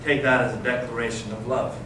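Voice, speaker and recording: A man playing a brooding, cynical intellectual in readers theater, speaking with measured, ironic intensity. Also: mid-range voice, flat delivery, natural voice. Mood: tender, resigned. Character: brooding, cynical intellectual brother, a provocative storyteller. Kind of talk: staged readers theater dialogue. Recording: echoey noisy room, distant microphone.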